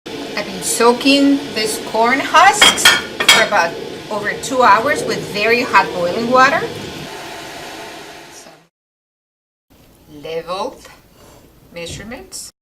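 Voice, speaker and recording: A woman's voice: fairly high pitch, lively intonation, takes it slowly, pauses often, recorded from a distance, noisy background. A woman from Mexico with a Mexican accent, a native Spanish speaker, hosting an informal home recipe demonstration. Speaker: home cooking video host. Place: kitchen.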